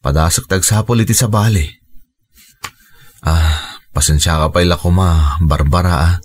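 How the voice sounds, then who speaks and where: A man acting a worried family father in an Ilocano radio drama, with an expressive, sighing delivery and a husky voice. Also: low-pitched, normal speed. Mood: troubled.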